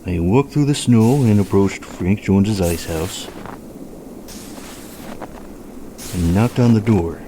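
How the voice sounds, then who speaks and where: A man acting as a stolid small-town police officer in an audio drama, measured and dryly understated, with a philosophical streak. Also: noisy background, lightly acted. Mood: earnest. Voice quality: low, mellow voice.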